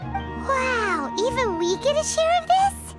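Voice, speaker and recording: A woman voicing a small, naive, excitable fairy companion in a video game, with a squeaky childlike voice. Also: slow pace, exaggerated voice. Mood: amazed. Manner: wide-eyed, refers to self by name. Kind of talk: video game character acting. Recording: loud background music.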